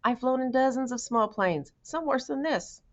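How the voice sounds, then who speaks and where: A woman's voice: high-pitched, expressive, moderate pace, highs cut off, voice pushed up. A woman reading a story, voicing an eager, easygoing schoolboy with an enthusiastic, breezy manner. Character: eager, easygoing young schoolboy who loves cooking.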